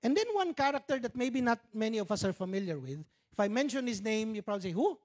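A man's voice: sits high, big pitch swings, quick pace. A Filipino man in his fifties preaching a sermon on a church stage, conversational, with joking Tagalog asides, speaking into a handheld mic. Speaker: pastor. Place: church stage with dark curtains.

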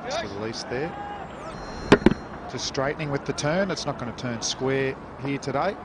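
Calm male cricket analyst, noisy background. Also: medium pitch, moderate pace. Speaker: cricket commentator. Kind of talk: live TV sports commentary. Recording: heavy background noise, outdoor broadcast.

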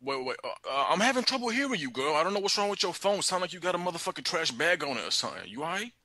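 Fast, lively-talking slangy man. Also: a little high, no echo, studio quality. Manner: slangy, teasing, stammering. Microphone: phone call.

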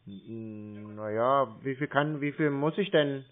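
A man from Germany stringing along a scam caller, slow and feigning confusion as he fumbles for details. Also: medium pitch. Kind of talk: playing dumb on a prank call. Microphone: phone. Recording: tinny phone line.

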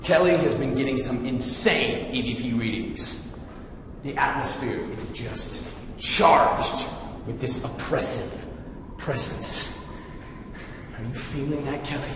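A man's voice: mid-range voice, slow pace, lightly acted. Brash ghost hunter played by a man in echoing hall. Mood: hushed intensity. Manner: dramatic, taunting bravado with slang. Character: brash, cocky male TV ghost hunter, theatrical. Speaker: paranormal investigator. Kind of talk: live-action video game cutscene acting. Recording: roomy echoing hall, faint noise.

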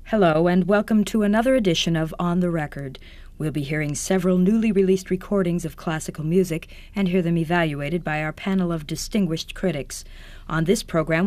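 A man's voice: high-pitched, a little mellow, no echo, lightly acted. Formal, dry-sounding man acting a radio host. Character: polite classical music program host. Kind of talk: formal scripted radio introduction.

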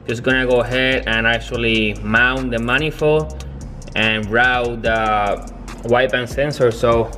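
A young man talking casually in a garage workshop, filming himself on a handheld camera, halting and restarting as he explains his car work. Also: mid-range voice, steady tone, bright, forceful tone, faint music behind. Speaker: car builder.

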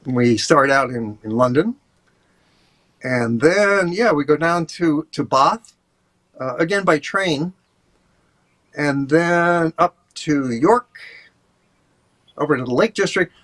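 An older man, a tour guide, chatting over a video call in a relaxed, rambling way with many fillers. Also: a little high, slow, pauses often. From USA. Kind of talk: casual interview talk. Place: home office, indoors.

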